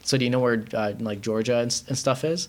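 Young man from Iran, loose rambling chat, lots of "like" and "um", mid-pitched. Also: fast talker. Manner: rambling, heavy use of filler words. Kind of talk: casual chat.

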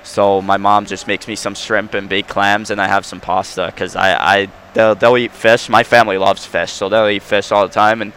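A man's voice: lowish voice, little pitch movement, moderate pace, hardly any pauses, fairly bright tone, slight background noise. A young man with a Long Island accent, a hockey player answering interview questions in a rambling, casual way with many restarts. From Long Island, New York.

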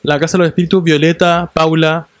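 High-voiced, fast-talking young Chilean man. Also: no echo. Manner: animated storyteller, slangy and swearing. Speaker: podcast co-host. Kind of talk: casual chat.

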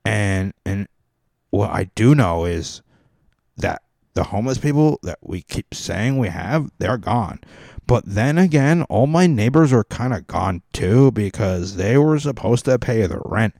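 Man doing a Californian caller, rambling, filler-heavy, low mellow voice, dry close sound. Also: lively intonation, a little slow, vocal fry, no echo, full character voice. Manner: meandering, full of "like" fillers. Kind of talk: comic podcast bit.

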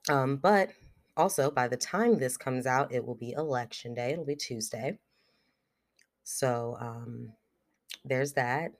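A young podcast host talks alone into the mic, blunt and unfiltered, with loose fillers and the odd swear word. A cold and a cough drop in the mouth thicken the voice a little. The pitch sits in a middle range. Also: slow pace, pauses often. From United States. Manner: frank and blunt, swears freely, many fillers like "like" and "um". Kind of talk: casual solo podcast monologue.

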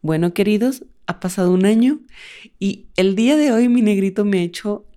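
A woman co-hosts a relaxed podcast with her husband, affectionate and teasing as she talks. Her pitch is ordinary for a woman, with a warm, dark tone. She is animated when she chats. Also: normal speed. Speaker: podcast co-host. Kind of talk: casual couple chat.

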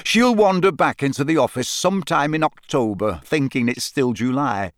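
A boyishly enthusiastic wizard fellow from a storybook, acted by a man. He is breezy, comfortable and chummy, with a lively, rising and falling pitch. The recording is dry and close. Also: moderate pace, no echo, natural voice. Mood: comfortable, unconcerned. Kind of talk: audiobook character voice.

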